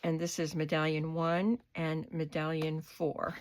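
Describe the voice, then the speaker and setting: An older woman with a cold and a hoarse voice, chatting unhurriedly as she shows her craft supplies in her home studio. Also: low-pitched, little pitch movement, heavy background noise. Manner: chatty, unhurried, describing items up close. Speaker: craft vlogger. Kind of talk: casual show-and-tell haul.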